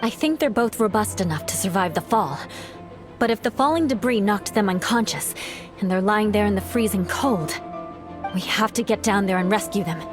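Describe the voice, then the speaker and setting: A woman's voice: medium pitch, moderate pace, music in the background, natural voice. A woman playing a cool-headed, practical young fighter in an anime video game, speaking in a composed, terse, analytical way.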